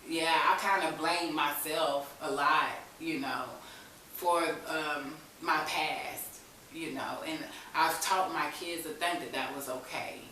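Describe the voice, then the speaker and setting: A woman's voice: low voice, fairly even intonation, slow pace, sharp tone. A woman in her thirties sharing a candid personal story in an informal, conversational way as an interview guest. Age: 30s. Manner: informal vernacular speech with frequent "you know". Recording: echoey, distant mic, faint hiss.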